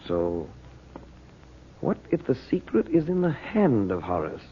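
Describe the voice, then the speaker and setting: An actor playing a calm, determined, commanding adventurer uncle in a vintage radio drama. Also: male voice, lowish voice, unhurried, a little hiss or hum. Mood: intent, searching. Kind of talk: 1940s radio drama acting. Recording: muffled old radio recording.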